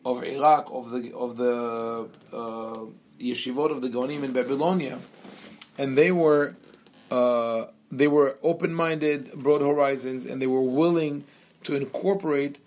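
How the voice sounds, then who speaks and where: Halting man giving a lecture. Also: medium pitch, fairly even intonation, a little mellow. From Israel. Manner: hesitant, many fillers and restarts. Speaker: rabbi teaching a seminar.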